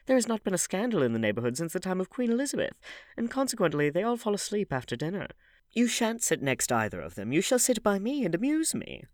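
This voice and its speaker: Male reader as elderly society hostess for a classic novel; high, very animated, exclamatory and gossipy. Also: fast, dry recording, studio quality. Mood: gossipy, amused. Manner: theatrical, exclamatory. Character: lively old aristocratic lady, gossipy, scolding hostess.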